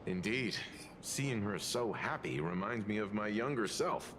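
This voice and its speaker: An older gentleman from a video game, wistful and measured. His voice is low and unhurried. Clear noise sits behind it. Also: animated. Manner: slow, nostalgic, measured.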